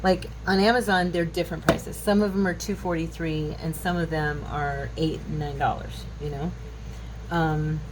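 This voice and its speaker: A woman in her fifties chatting casually through a craft tutorial, rambling and musing aloud as she works indoors. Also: deep voice. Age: 50s. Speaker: craft channel host. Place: indoor craft table at home. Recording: clearly noisy home recording.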